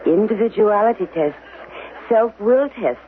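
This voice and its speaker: An actress playing an anxious mother in a regimented future society, speaking haltingly and stiffly formally in a radio drama. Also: medium pitch, lively intonation, narrow, tinny audio, lightly acted. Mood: worried, halting. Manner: halting, repeats words, stiffly formal without contractions. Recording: band-limited 1970s radio broadcast.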